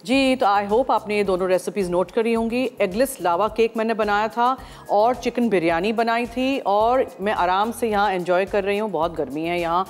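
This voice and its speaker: A middle-aged woman with a Pakistani accent talks through a recipe on a studio kitchen set, speaking chattily and slipping into English. Her voice sits at an ordinary pitch, picked up by a lapel mic. Soft music plays underneath. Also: normal speed. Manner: chatty, switches freely into English. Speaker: TV cooking show host. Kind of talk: cooking demonstration, mixing Urdu and English.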